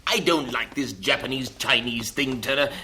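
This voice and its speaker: An actor playing a worried, businesslike mission superintendent in an old radio drama. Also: male voice, medium pitch, moderate pace, sharp tone, a little hiss or hum. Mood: brisk, worried.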